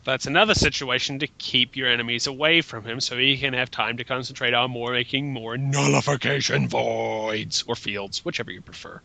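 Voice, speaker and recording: A man's voice: medium pitch, steady tone, moderate pace, vocal fry, few pauses, pushed and bright, poor audio. A man giving casual, mocking fan critique in an opinionated, emphatic way, as part of a group commentary. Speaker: commentator in a group dramatic reading.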